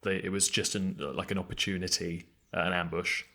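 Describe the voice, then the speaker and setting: A man's voice: sits low, little pitch movement, fairly quick, bright, forceful tone. A man improvising roleplay and table talk as a player in a tabletop game.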